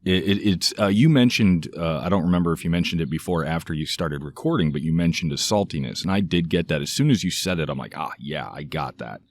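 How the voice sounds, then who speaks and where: A man's voice: low-pitched, lively intonation, quick pace, few pauses. A man co-hosting a casual podcast outdoors, joking and rambling through strange comparisons. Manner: rambling, jokey, fond of odd metaphors. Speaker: podcast co-host. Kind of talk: casual chat. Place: outdoors, light breeze.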